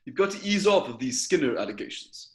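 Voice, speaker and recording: A man's voice: on the high side, very animated, brisk. A man voicing a fatherly male village police chief who talks in a soothing, patronizing way. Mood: placating. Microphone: video call.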